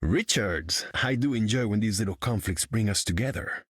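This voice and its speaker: An actor playing a haughty, sly regal rival in a video game, speaking formally and with smug insinuation. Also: male voice, fairly low pitch, moderate pace, fairly bright tone, slightly rough, no room sound, studio quality.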